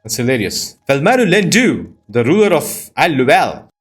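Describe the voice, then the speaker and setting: A man plays a game and talks through it casually, thinking out loud as he goes. His voice sits at an ordinary pitch, with game music playing underneath. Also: big pitch swings, moderate pace, husky. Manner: musing aloud, exclaiming at sights. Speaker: let's player and show host.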